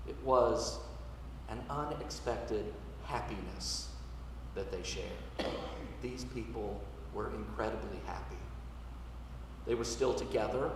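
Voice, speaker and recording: A man preaching a sermon as a priest, speaking in measured, deliberate phrases with emphatic pauses. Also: mid-range voice. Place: large reverberant church interior. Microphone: distant microphone. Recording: echoey church, distant mic, noisy hiss.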